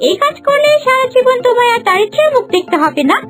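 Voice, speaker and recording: A woman plays a young princess bride, haughty and scheming. The voice is very high and imperious, in fairy-tale cartoon style. Soft music sits behind it. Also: lively intonation, fast talker.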